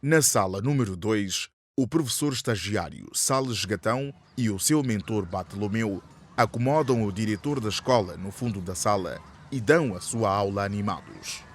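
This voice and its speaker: A man's voice: fairly low pitch, lively intonation, normal speed. A man narrates a radio drama. The scripted narration is recorded with a faint layer of ambient noise.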